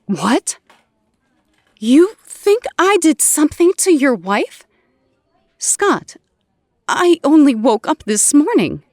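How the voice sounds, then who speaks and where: A woman playing an earnest, pleading superhero in an audio drama, deliberate and emotional. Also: high voice, very animated, no room sound, clean studio sound, exaggerated voice. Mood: hurt, alarmed. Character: Jean, earnest, pleading resurrected female superhero.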